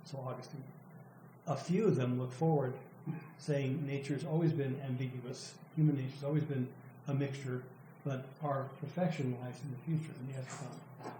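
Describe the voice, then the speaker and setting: An older man giving an academic lecture, his voice going hoarse from overuse, speaking thoughtfully with halting restarts. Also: medium pitch, fairly even intonation, mellow. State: losing his voice, clearing throat. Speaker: professor presenting a student's work. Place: lecture room. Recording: echoey room with faint noise.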